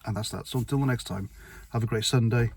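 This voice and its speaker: A man's voice: medium pitch, fast talker, a little mellow, breathy edge. Blunt, rambling middle-aged man. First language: Welsh. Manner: blunt, rambling, sweary, with restarts. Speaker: vlogger. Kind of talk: casual opinion monologue to camera.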